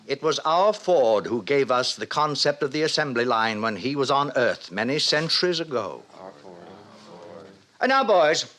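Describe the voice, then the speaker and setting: An actor playing a pompous, lecherous middle-aged director lecturing theatrically in an old radio drama. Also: male voice, a little high, bright, forceful tone, exaggerated voice. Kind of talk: 1950s radio drama acting. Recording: noisy old radio broadcast recording.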